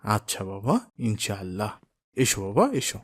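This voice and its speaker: A man playing a pious, kindly father in a cartoon, gentle and instructive with his son. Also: lowish voice, moderate pace, dry recording, lightly acted. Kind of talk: cartoon drama voice acting.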